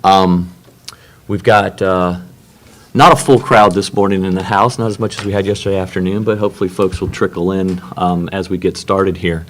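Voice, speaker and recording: A man with a very low voice chairs a morning working session in a conference room. He talks into a room microphone in a halting way, full of ums and restarts. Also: fairly even intonation. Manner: halting, many fillers and restarts. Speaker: workshop co-chair and moderator. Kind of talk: opening remarks at a technical meeting.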